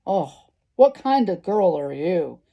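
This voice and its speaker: A woman's voice: fairly low pitch, very animated, takes it slowly, vocal fry, muffled recording, exaggerated voice. A woman voicing a sneaky, hungry male wolf with a growling, menacing manner in a children's storybook. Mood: sly. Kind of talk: children's storybook read-aloud.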